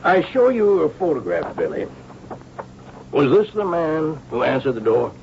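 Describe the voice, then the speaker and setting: An actor playing a shrewd, persistent police inspector, dry, courteous and probing, in a radio drama. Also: male voice, fairly high pitch, wildly swooping pitch, unhurried, exaggerated voice. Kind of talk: radio drama acting and narration. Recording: dull, noisy old radio recording.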